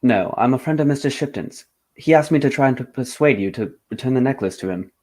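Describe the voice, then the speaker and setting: A man's voice: medium pitch, lively intonation, quick pace, a little mellow, natural voice. An actor playing a dry, insistent male English detective with formal, clipped courtesy in a radio drama.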